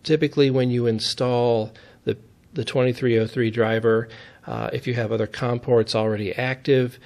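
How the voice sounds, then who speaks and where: A man giving a step-by-step technical demonstration in a methodical, plain-spoken way, as an instructor would. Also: mid-range voice.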